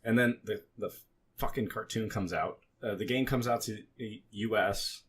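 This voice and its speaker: A man's voice: lowish voice, moderate pace, fairly bright tone, slight background noise. A man co-hosting a casual podcast, telling rambling, joking stories peppered with swearing. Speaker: podcast co-host.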